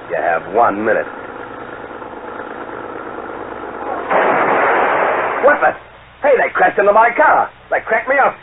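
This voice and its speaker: Menacing American radio gangster, wildly swooping voice. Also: male voice, mid-range voice. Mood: angry, threatening. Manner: tough, slangy, bullying. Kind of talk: 1940s radio crime drama acting. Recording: tinny, noisy old radio recording.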